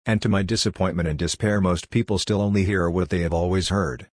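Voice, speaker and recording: Husky low-voiced older Hungarian man. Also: steady tone, a little fast, darkish tone, dry recording, studio quality. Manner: blunt, lecturing. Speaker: workshop leader. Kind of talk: scripted monologue.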